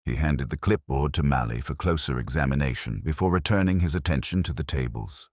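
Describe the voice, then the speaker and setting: A man narrating a scripted mystery story in a measured, formal, literary manner. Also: very deep voice, no echo, clean studio sound. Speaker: audiobook narrator.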